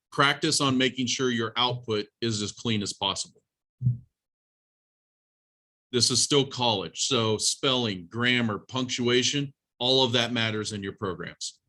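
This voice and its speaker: Man, college instructor, bright crisp-edged tone, medium pitch, informal classroom teaching, plain-spoken, instructive, direct to students. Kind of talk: informal classroom lesson with live coding demonstration.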